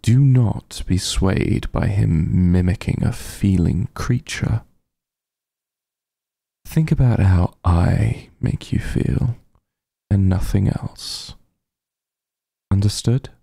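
A man voicing a possessive, teasing vampire mafia heir in an intimate ASMR roleplay, husky and gravelly, with a condescending drawl. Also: low-pitched, slow pace, pauses often, mellow, no room sound, clean studio sound, exaggerated voice. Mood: possessive, commanding. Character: possessive, teasing male vampire mafia heir, coolly menacing yet doting.

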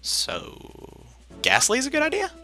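A man's voice: very high-pitched, huge pitch swings, unhurried, sharp tone. A young man giving casual, rambling commentary while playing a video game, chatty and self-deprecating with plenty of fillers. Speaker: let's play commentator. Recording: game music behind voice.

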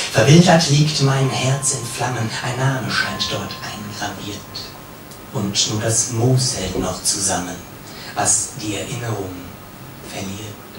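A man gives a live poetry reading in a solemn, measured manner, with pauses and phrases that fall away at their ends. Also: medium pitch. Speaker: poet reading own work. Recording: roomy, distant, noisy live recording.

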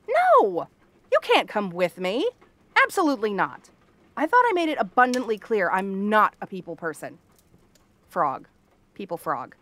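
A woman's voice: medium pitch, fairly bright tone, no room sound. A woman playing a cranky, tomboyish young frog-girl princess in a fantasy roleplay, sarcastic and haughty. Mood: flustered, grudging. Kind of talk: scripted fantasy ASMR roleplay.

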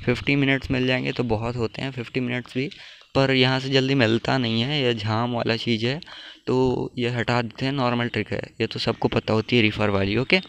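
Young man, gravelly, flat-pitched tutorial voice. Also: fairly quick, slight background noise. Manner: informal, addresses viewers as bro. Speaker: tech tutorial YouTuber. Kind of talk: casual phone app tutorial.

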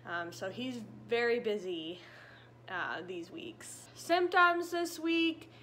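A young woman in her twenties talks casually to a camera set up in front of her in a living room, filling her sentences with ums and likes. Her voice is bright and a touch high, and she sounds a little short of breath. A faint hiss of room noise sits under her voice. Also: sing-song, takes it slowly. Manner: chatty, many fillers and likes. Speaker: vlogger. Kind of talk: casual pregnancy update. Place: living room by a stone fireplace.

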